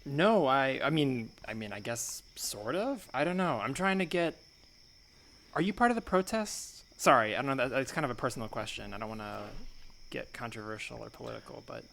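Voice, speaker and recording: A man's voice: medium pitch, expressive, a little fast, vocal fry, a bit bright. A man playing a curious, nervous stranger in improvised comedy, stammering and over-apologizing as he speaks. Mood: nervous, tentative. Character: awkward, hesitant, apologetic curious stranger on park bench. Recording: noisy remote recording.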